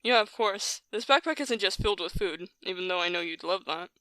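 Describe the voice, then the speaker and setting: A woman's voice: medium pitch, animated, a little fast, sharp tone, dry recording, poor audio. A woman playing a level-headed young human traveler in an audio drama, casual, dry and matter-of-fact. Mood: confident, amused.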